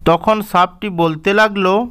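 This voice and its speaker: A man telling a cartoon fairy tale in a measured, gently didactic way. His voice sits high for a man and comes across smooth and resonant. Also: fairly even intonation. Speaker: storyteller. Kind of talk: scripted storybook narration.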